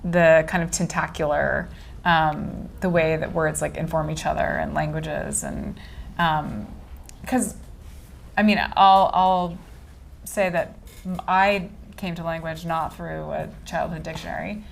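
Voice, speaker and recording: A woman interviewing an author at a bookstore event, asking long, animated questions with many fillers. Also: deep voice, a little slow, vocal fry, a little hiss or hum. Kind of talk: casual conversation at a book event.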